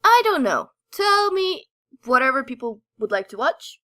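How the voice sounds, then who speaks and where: A woman chats as a podcast co-host in a bright, somewhat high voice. Her intonation is very lively and her manner playful and casual, picked up with a dry, close sound. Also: normal speed, no room sound. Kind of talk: casual chat.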